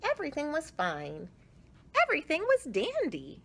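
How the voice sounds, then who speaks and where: A woman reading a picture book aloud to children, expressively, with drawn-out emphasis. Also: high-pitched, wildly swooping pitch, gravelly, fairly bright tone. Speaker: children's storyteller.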